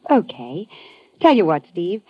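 Coy female travel agent, low, husky voice, inquisitive and suggestive, radio noir. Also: big pitch swings, a little slow, no echo, heavily acted. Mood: coy, probing. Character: smooth, probing, flirtatious female travel agent. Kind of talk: old-time radio drama acting. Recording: muffled old radio recording.

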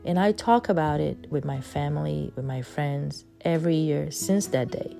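A middle-aged woman from Brazil, a native Portuguese speaker with a Brazilian accent, hosting her podcast and telling a personal story in an earnest, halting way full of fillers. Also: deep voice, gravelly, faint music behind. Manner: earnest, halting with frequent fillers and soft laughs. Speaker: podcast host.

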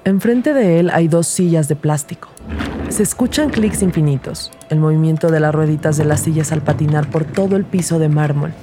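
A woman's voice: low-pitched, normal speed, music in the background, close to an everyday voice. A woman playing an earnest rookie detective who narrates her case in a scripted audio drama. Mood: measured, narrating. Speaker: narrator and lead character.

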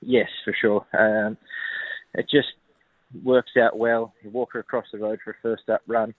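Australian trainer on a tinny phone line. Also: male voice, on the low side, normal speed, no echo. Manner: relaxed, plenty of ums and uhs. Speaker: racehorse trainer. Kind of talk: phone interview.

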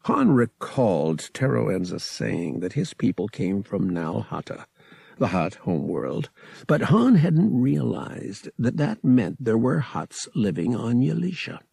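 A man reads scripted fiction as a dramatic audiobook narrator. His delivery is unhurried with wide swings of pitch, and some gravel sits in the voice. The recording is dry. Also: big pitch swings, darkish tone, no room sound.

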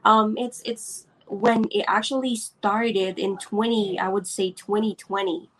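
A woman's voice: mid-range voice, fairly even intonation, unhurried, low-quality recording. A woman from the Philippines, a business owner being interviewed, hesitant with many fillers and restarts, over a video call. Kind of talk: casual interview.

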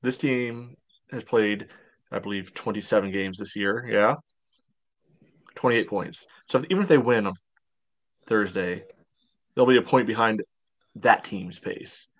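A man's voice: on the low side, unhurried, pauses often. A man co-hosting a sports podcast, giving casual commentary in conversation. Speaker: podcast co-host.

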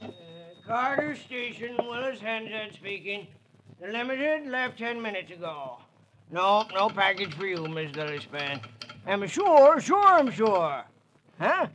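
Slow, high-voiced old station agent. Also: male voice, big pitch swings. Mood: dawdling. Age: older. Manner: folksy, rambling, easily sidetracked. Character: chatty, dawdling old small-town station agent. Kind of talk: 1940s radio drama acting. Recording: noisy old radio recording.

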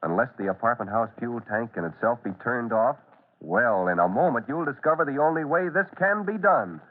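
A male American announcer delivers suspenseful, emphatic narration for a radio serial. His voice is mid-pitched. The sound is narrow and tinny, like a vintage broadcast. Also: lively intonation, a little fast. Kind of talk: dramatic old-time radio narration.

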